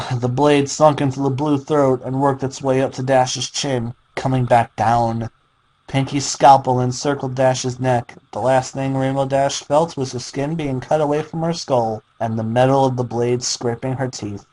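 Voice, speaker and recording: A man reading fan fiction as a theatrical, deliberate dramatic narration. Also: medium pitch, fairly even intonation, darkish tone, slight background noise, rough recording.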